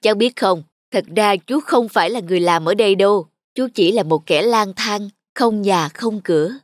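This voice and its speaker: A woman's voice: mid-range voice, moderate pace, dry recording, clean studio sound, close to an everyday voice. A woman voicing a sheepish, kind-hearted homeless man in a cartoon, with a hesitant manner. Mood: regretful, surprised. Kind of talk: cartoon voice acting. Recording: music behind the voice.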